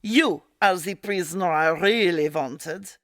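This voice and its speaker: A woman's voice: lowish voice, unhurried, fairly bright tone, no room sound, clean studio sound. A woman playing an evil, fanatical Nazi supervillain queen in a comedic radio play, with a German accent and a grandiose, menacing manner. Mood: grandiose menace.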